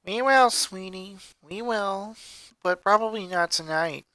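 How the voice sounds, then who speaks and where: A woman voicing a worried, tired and gentle mother in a story reading. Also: mid-range voice, unhurried, no room sound. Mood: weary, soothing.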